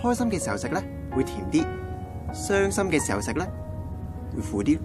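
Actor as animated, goofy Hong Kong guy, high-pitched. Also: fairly quick. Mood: joking, wistful. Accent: Hong Kong Cantonese. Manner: joking, animated. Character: goofy, lovelorn 27-year-old male friend, clownishly comforting. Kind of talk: radio drama acting. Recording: music behind the dialogue.

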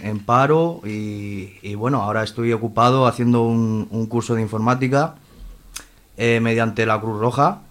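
Male, late twenties, Madrid accent, mid-pitched, hesitant, repetitive, informal interview guest. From Madrid, Spain. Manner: hesitant, repeats words, frequent tag questions. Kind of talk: informal personal interview answers.

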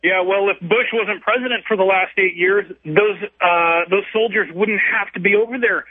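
A man with a fairly high voice argues down a phone line, condescending and dismissive. The call sounds thin and tinny, with no room sound at all. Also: normal speed, no room sound. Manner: condescending, laughs at his opponent. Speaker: caller. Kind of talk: heated call-in argument.

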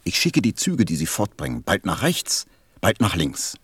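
Deep, husky, weary railway switchman. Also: huge pitch swings, moderate pace, no echo, heavily acted. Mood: resigned, matter-of-fact. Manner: laconic, resigned. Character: railway switchman, weary, matter-of-fact philosopher. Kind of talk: German radio play acting.

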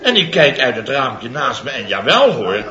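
A man's voice: mid-range voice, big pitch swings, normal speed, roomy sound, crowd noise, lightly acted. An actor playing a blustering contractor in his fifties who tells his mishaps theatrically and chuckles through his lines. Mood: blustering, amused. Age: 50s. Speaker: contractor boss Biels. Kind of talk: 1960s radio comedy serial. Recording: dull old broadcast recording, studio audience laughter. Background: audience laughter.

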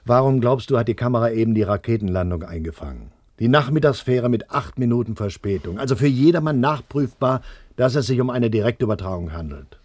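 A man's voice: medium pitch, expressive, a little fast, a little mellow, dry recording, lightly acted. A man playing a determined, rational and militant adult son in a radio play, explaining things insistently and matter-of-factly. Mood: insistent, dismissive.

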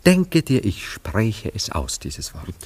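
A self-dramatizing romantic in a radio play. His voice is very deep. He speaks with solemn, dreamy melancholy and a theatrical flair. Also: wildly swooping pitch, slow, a little mellow, husky, full character voice. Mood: dreamy rapture. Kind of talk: German radio drama acting.

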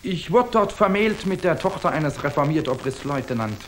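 A man's voice: fairly high pitch, lively intonation, moderate pace, a little hiss or hum, natural voice. An actor in a German radio drama playing a hardened soldier who narrates his own tale in archaic, clipped diction. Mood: respectful.

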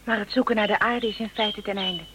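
A woman's voice: mid-range voice, steady tone, fairly quick, lightly acted. An actress playing an earnest, emotive young woman settling on a new planet in a radio play. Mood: solemn, hopeful. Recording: radio drama with faint noise.

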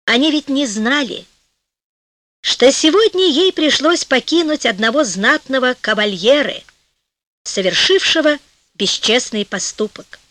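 A woman narrating a fairy tale from a script in an expressive, theatrical, measured storytelling manner. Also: high voice, no echo. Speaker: audiobook narrator.

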